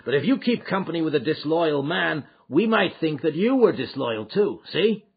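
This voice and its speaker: Man voicing a domineering, husky American mob boss. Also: fairly high pitch, animated, moderate pace, lo-fi sound, pitched above the performer's own voice, full character voice. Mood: menacing. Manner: blunt, domineering, folksy threats. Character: huge menacing boisterous American lodge boss. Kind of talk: audiobook character acting.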